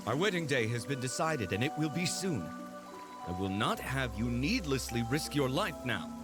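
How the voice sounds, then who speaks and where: A man voicing a proud, possessive royal fiancé in a game cutscene, speaking formally. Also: mid-range voice. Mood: stern. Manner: formal, stern and commanding.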